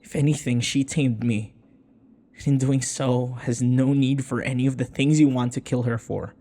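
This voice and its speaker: A man playing a devoted, stubbornly protective servant in an audio roleplay, speaking in earnest, halting pleas. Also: medium pitch, steady tone, mellow, lightly acted. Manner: earnest pleading, halting with repeated words. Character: devoted young male servant, submissive, stubbornly protective of vampire mistress.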